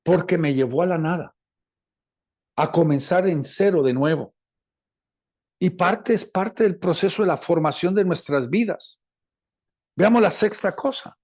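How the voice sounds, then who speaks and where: A man with a Latin American Spanish accent preaching a teaching sermon, deliberate and repeating phrases for emphasis. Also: high voice, a little mellow. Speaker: preacher, apostle.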